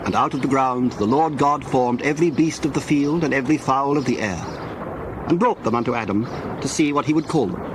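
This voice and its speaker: A man narrating a dramatized scripture reading in a measured, solemn storytelling manner. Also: medium pitch, noisy background.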